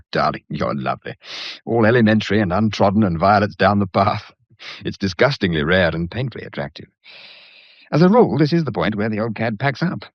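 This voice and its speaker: A flippant British charmer in a period mystery drama. His voice sits fairly low and moves about freely as he teases with dry, sardonic ease. The sound is slightly dull. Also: big pitch swings, brisk, no room sound. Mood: wry, tender. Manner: breezy, teasing, sardonic. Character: wry, flippant gentleman, charming self-styled old cad.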